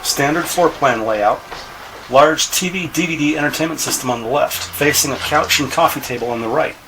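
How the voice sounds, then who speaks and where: A man's voice: fairly high pitch, normal speed. A man reading scripted narration for a crime audio drama. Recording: noisy ambient sound bed.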